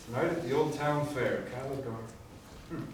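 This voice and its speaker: A man's voice: medium pitch, moderate pace, far from the mic, natural voice. A man playing a straitlaced, sensible young town office worker in a stage musical, speaking earnestly. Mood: dry, busy. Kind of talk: musical theatre stage acting. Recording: echoey hall recorded from afar, noisy.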